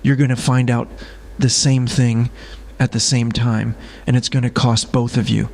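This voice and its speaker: A guarded smuggler played by a man speaks at a middling pitch. He is weary and grave, choosing terse, deliberate words as in a science fiction audio drama. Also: level tone, vocal fry, darkish tone, natural voice. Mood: grave confession. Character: guarded, weary male smuggler carrying a dangerous secret.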